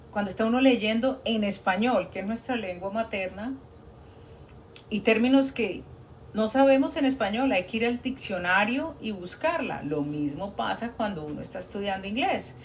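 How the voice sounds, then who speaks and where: A woman's voice: on the high side, a little hiss or hum. A Colombian woman, a nurse working abroad, giving earnest, encouraging advice in a casual live stream chat, often restarting her sentences.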